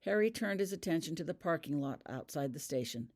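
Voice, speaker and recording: A narrator reads a story aloud in a mid-pitched voice. The delivery is scripted audiobook narration, read by the author of the piece. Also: expressive, fairly quick. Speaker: author reading own fan fiction.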